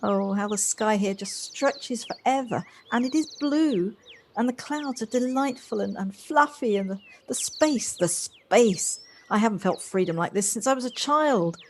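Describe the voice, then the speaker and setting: A woman's voice: fairly high pitch, expressive, measured pace. A woman playing a polite, effusive, wistful character in an amateur audio drama. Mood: exhilarated. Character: Josie, wistful, polite, effusive woman rediscovering childlike freedom. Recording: faint noise, home-recorded on personal device.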